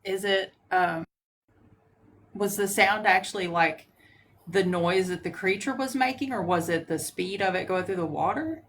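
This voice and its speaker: A woman's voice: medium pitch, a little slow, fairly bright tone, a little hiss or hum. A woman hosting a paranormal live stream, reacting to a caller and asking follow-up questions. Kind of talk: casual live-stream chat.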